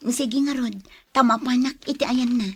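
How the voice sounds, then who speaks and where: A woman's voice: fairly high pitch, steady tone, moderate pace, slightly rough, no room sound. A woman acting a troubled young daughter in love in a radio drama. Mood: concerned.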